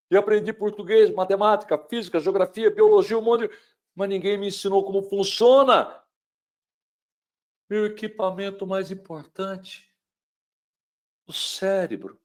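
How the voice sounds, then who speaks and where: A middle-aged man from Brazil giving a webinar lecture on mental wellbeing, dramatic, swinging between loud emphasis and hushed tones and asking the listener rhetorical questions. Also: high voice.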